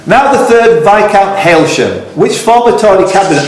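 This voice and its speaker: A man's voice: sits high, lively intonation, normal speed. A man hosting a live quiz, reading out questions and rambling into teasing, jokey asides. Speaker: quiz host. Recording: echoey room, slight background noise.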